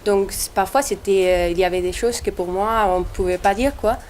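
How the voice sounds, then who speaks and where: A woman's voice: medium pitch, moderate pace, darkish tone, a little hiss or hum. A young woman from Spain, a student answering interview questions in a hesitant way with many restarts. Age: young adult.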